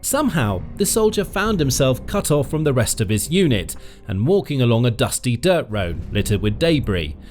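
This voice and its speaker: A man narrates in a scripted documentary style, his pitch rising and falling a great deal as he leans on key words. His delivery is dramatic and emphatic, with pauses for effect. Faint music plays underneath his voice. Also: big pitch swings, a little mellow. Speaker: narrator of a military news channel. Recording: faint music bed behind the voice.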